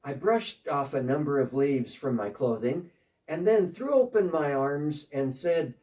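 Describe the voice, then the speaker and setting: Short, earnest tax collector recounting his change of heart , played by a man, lively up-and-down delivery, mellow tone, miked from a distance. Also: on the high side, measured pace. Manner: earnest storytelling, talking as if on a phone call. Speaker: dramatic reader. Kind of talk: first-person dramatic monologue.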